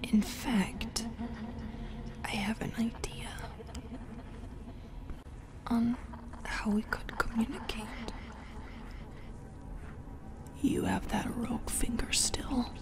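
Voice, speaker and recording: Woman playing a slow, whispering, seductive demoness. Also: a little high. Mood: playful teasing. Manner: breathy, lingering whisper, playful and menacing. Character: seductive, teasing female sleep paralysis demon, possessively affectionate. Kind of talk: ASMR roleplay. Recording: music behind the voice.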